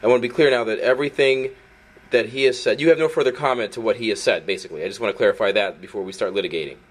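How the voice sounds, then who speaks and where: A man's voice: mid-range voice, racing. A man speaking as an outspoken activist journalist, wordy, insistent and argumentative. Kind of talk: outspoken video commentary and confrontational phone call.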